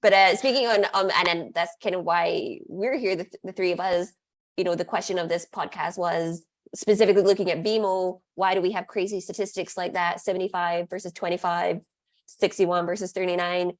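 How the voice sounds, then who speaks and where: A woman's voice: on the low side, fairly even intonation, a little fast. A woman talking casually on a podcast as a co-host, chatty and full of "like" fillers. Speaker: podcast co-host, staff member.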